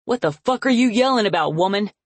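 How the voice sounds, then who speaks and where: A woman's voice: medium pitch, normal speed, dry recording, voice pushed up, natural voice. A woman voicing a furious, abusive middle-aged father in a story reading, speaking in a snarling, menacing way. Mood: menacing, angry.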